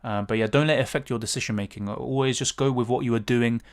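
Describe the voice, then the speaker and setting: A man's voice: mid-range voice, fairly even intonation, quick pace, clear, resonant tone. A man with a British accent, chatting casually on a livestream and answering viewer questions with lots of fillers. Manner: chatty, thinks aloud with many fillers and restarts. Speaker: fantasy football streamer.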